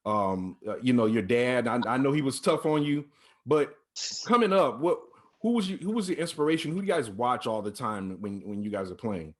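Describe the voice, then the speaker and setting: A man's voice: medium pitch, animated, fairly quick. Encouraging rambling male host. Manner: rambling, repeats phrases, encouraging. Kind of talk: casual church-music interview.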